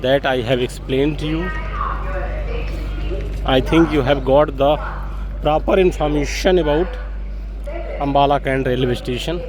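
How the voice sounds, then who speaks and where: A man's voice: mid-range voice, measured pace, long pauses, poor audio. A man with an Indian accent gives an informal walk-through commentary as a travel vlogger, explaining things in a repetitive, hesitant way. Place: public railway station, on the move. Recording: busy station crowd and vehicle noise.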